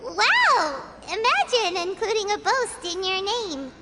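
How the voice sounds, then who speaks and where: A woman voicing a tiny, excitable, childlike fairy companion in a video game, exclaiming and greedy for treats. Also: very high voice, slow, loud music behind the voice, heavily acted. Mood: surprised, chatty. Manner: refers to self in third person, exclamatory.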